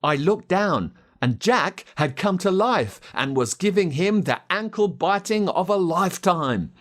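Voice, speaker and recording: An Australian man narrating a children's story in a dramatic, expressive way. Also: high voice, a little slow. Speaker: audiobook narrator.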